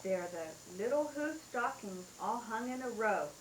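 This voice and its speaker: A woman's voice: medium pitch, animated, a little slow, echoey room, a little hiss or hum, poor audio. A mother reading a rhyming bedtime story aloud in a sing-song way, taped at home.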